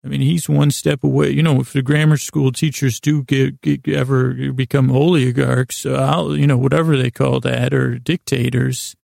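Guy doing a meandering sleep podcast monologue, super gravelly fry, keeps tripping over himself, really dry closet sound. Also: mid-range voice, little pitch movement, darkish tone, no echo. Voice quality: very creaky, gravelly. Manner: halting, drawn-out, meandering delivery with repeated self-interruptions; self-deprecating, apologetic. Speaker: podcast host. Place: small closet, indoors.

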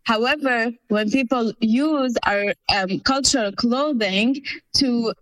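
A woman's voice: a little high, slow pace, dry recording, poor audio. A woman of Palestinian family background, answering interview questions in an earnest, explanatory way with many fillers. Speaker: guest.

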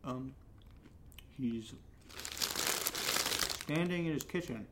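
A man's voice: takes it slowly, lightly acted. Exasperated, dry young American sidekick played by a man. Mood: deadpan. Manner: dry, sarcastic, casual. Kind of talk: naturalistic comedic audio drama dialogue. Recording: noisy low-level home recording.

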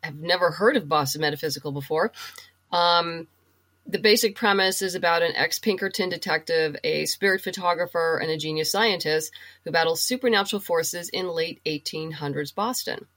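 A woman speaking as a podcast guest in casual interview chat, halting as she thinks aloud. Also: on the low side, a bit bright. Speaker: podcast guest, comic writer and audio drama producer.

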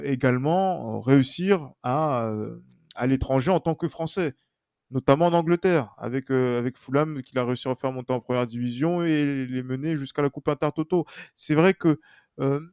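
A man reflecting as a football podcast panelist in measured, long winding sentences. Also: medium pitch, a little mellow, no echo, studio quality.

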